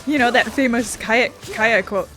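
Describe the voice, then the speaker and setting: A woman's voice: on the high side, normal speed, fairly bright tone. A woman giving casual, sweary let's play commentary, irreverent and half talking to herself as she plays. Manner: irreverent, sweary, muttering to self. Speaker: gaming video host. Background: faint game music.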